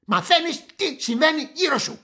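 An actor playing a friendly, smiling middle-aged male crime novelist in a radio play, encouraging and unhurried. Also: very high voice, animated, sharp tone, full character voice. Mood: relaxed, encouraging. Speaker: established writer in a radio drama.

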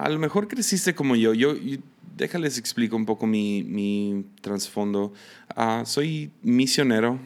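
A man's voice: lowish voice, gravelly. Mexican-accented man teaching with many fillers. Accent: Mexican Spanish. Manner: hesitant, many fillers and restarts. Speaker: missionary podcast host. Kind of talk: casual teaching monologue.

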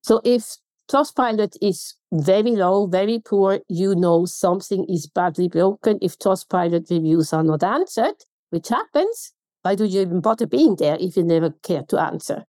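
A woman's voice: mid-range voice, expressive, normal speed, mellow, no echo. A woman from Switzerland with a Swiss German accent, a consultant guest on a podcast interview, talking emphatically and throwing out pointed rhetorical questions.

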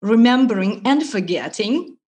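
A woman from Ukraine whose first languages are Russian and Ukrainian, a language teacher speaking as a podcast guest in a measured, explanatory way with frequent pauses. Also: on the high side, animated, a little mellow. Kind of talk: podcast interview.

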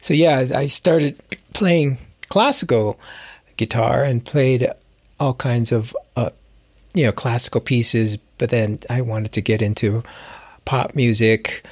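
A man's voice: medium pitch, takes it slowly, no room sound. Reflective man chatting in a close, dry recording. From Hawaii, United States. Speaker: guest musician and music instructor. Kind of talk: casual interview storytelling.

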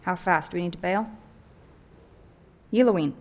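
A woman's voice: on the low side, normal speed, in a large hall, natural voice. A woman playing a brusque, foul-mouthed female thief in an audio drama, speaking bluntly and urgently. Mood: wary, terse.

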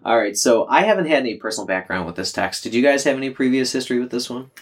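Casual male podcast host, middle-pitched, self-mocking, runs the conversation. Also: lively intonation, racing. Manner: self-deprecating, joking, leads the discussion. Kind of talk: casual book club chat.

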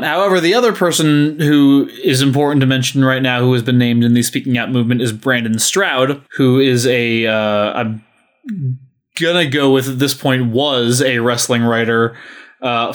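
Man, podcast host, medium pitch, frank, emphatic, sweary, addressing listeners.

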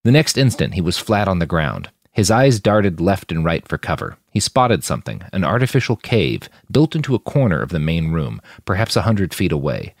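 A man reading dramatic fiction aloud in an expressive, emphatic storytelling manner. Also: deep voice, moderate pace, few pauses, dry recording, studio quality. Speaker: author narrating own fiction. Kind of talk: dramatic audiobook narration.

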